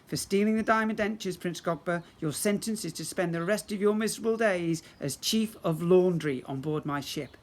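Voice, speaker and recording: A man's voice: high-pitched, moderate pace, hardly any pauses, voice pushed up, close to an everyday voice. A man voicing a leering skeleton pirate captain in a storybook, speaking grandly and with relish. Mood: gloating menace.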